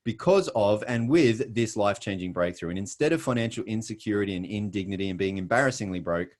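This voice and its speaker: Australian male presenter, middle-aged, lower register, earnest and emphatic, on a video call. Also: quick pace, a little mellow. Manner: earnest, emphatic storytelling with self-deprecating jokes. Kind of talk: scripted motivational presentation.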